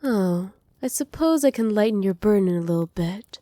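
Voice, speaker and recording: A woman voicing a doting, possessive evil witch in an intimate ASMR roleplay, speaking softly and teasingly, often slipping into breathy whispers. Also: medium pitch, lively intonation, normal speed, no room sound, clean studio sound, lightly acted. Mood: tender, indulgent. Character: doting, possessive evil witch pampering her captive pet. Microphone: close microphone, dry.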